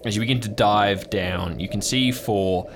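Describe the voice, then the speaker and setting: A man's voice: on the low side, moderate pace, background noise. A man improvising descriptive narration as game master of an audio drama, setting scenes in the second person. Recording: music playing behind the voice. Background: music.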